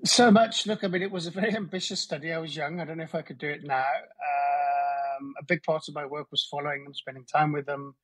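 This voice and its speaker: A man's voice: on the high side, steady tone, brisk, hardly any pauses, a bit bright, breathy edge, rough recording. A middle-aged man with a Southern African English accent, a conservation biologist speaking modestly and thoughtfully, calling in remotely. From Zambia and Zimbabwe. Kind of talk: casual interview answers.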